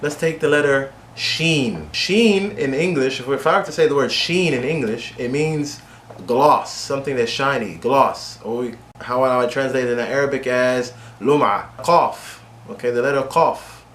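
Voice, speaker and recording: A man's voice: mid-range voice, a little slow, a bit bright. A man gives an English lesson in a plain middle register, pressing his points and checking in with "okay?" as he goes. His voice bounces around a roomy, echoey space with a faint hum of ambience behind it. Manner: direct, repetitive coaching with frequent "okay?" checks. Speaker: English teacher. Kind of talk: instructional video lesson.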